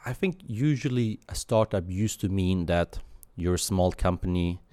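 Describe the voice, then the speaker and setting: A man in his thirties from Sweden, answering interview questions in a thoughtful, halting way with many fillers and restarts. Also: low-pitched, warm tone, dry recording. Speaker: guest.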